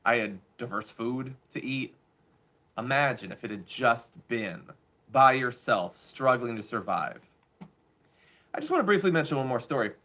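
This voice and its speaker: A man's voice: mid-range voice, animated, normal speed, bright, forceful tone. Blunt, sarcastic male news commentator. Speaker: host of a political commentary show.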